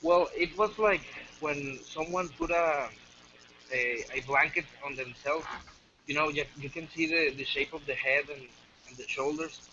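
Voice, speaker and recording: A man in his twenties from Mexico with a Mexican Spanish accent, a native Spanish speaker telling a story haltingly over a voice call, full of fillers and restarts. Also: a little high. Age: 20s. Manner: halting, many fillers and restarts, soft-spoken. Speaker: guest storyteller. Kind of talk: hesitant casual storytelling. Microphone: computer mic on a voice call. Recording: dull muffled voice-chat audio with faint hiss.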